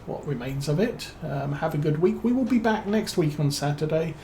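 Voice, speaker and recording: A man with a British accent giving relaxed let's-play commentary as a streamer, musing and thinking aloud with plenty of fillers. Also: fairly high pitch, normal speed, a little hiss or hum. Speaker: game streamer.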